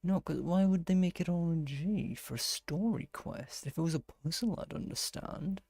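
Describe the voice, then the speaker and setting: Gravelly, mellow-voiced man playing a game. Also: fairly high pitch, moderate pace, no echo. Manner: thinking aloud, muttering and exclaiming to himself. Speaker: gaming streamer playing and commenting. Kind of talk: casual live gameplay commentary.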